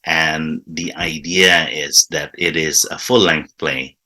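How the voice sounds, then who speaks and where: A man's voice: extremely low pitch, fairly even intonation, takes it slowly, pushed and bright. A man from Indonesia, a guest and improv teacher, explaining his format in a casual interview with frequent restarts. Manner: enthusiastic, restarts words often, explanatory.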